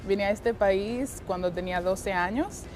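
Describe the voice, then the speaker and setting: A woman's voice: mid-range voice, a little slow, a little mellow, a little hiss or hum. A woman, giving interview answers as a voter. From Dominican Republic. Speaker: Republican voter.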